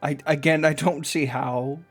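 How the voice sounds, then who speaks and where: A man's voice: a little high, steady tone, a little slow, music in the background. A man casually reacting and commenting over gameplay as a streamer. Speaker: game streamer.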